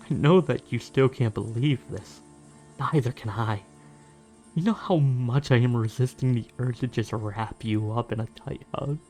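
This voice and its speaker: A man playing a gently menacing, obsessive lovestruck fan in an ASMR roleplay, intimate and teasing, sometimes dropping to a whisper. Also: medium pitch, natural voice. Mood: tender, obsessive. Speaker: amateur voice actor.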